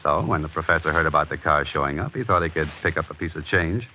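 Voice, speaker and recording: A cool, tough private eye from vintage radio drama. His voice is deep and his talk slangy and needling. The recording is dull, with faint noise behind it. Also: fast talker. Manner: hard-boiled, slangy, unflustered. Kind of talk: 1940s radio crime drama acting. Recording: muffled, noisy old radio broadcast.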